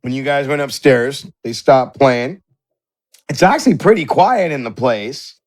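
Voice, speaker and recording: A man's voice: medium pitch, lively intonation, a little slow. A man running a tabletop game as game master, narrating in a conversational, descriptive way.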